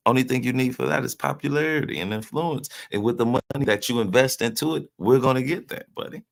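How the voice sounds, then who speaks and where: Gravelly-voiced man hosting a livestream. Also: mid-range voice, fast. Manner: loose and joking, talks to viewers in chat by name. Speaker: livestream host. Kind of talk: casual live-stream commentary, reading chat.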